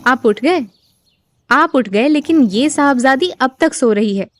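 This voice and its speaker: A woman playing a caring, worried, traditional wife who gently scolds in an audio drama. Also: high-pitched, lively intonation, measured pace. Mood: fretful scolding.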